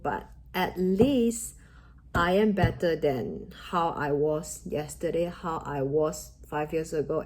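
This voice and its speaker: A young Malaysian woman whose first language is Mandarin talks earnestly to her viewers, encouraging them to believe in themselves. Her voice sits a little low and sounds mellow and warm. Her English carries a Malaysian accent. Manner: earnest, encouraging, with grammar slips of a second-language speaker. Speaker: vlogger. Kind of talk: motivational talk to camera.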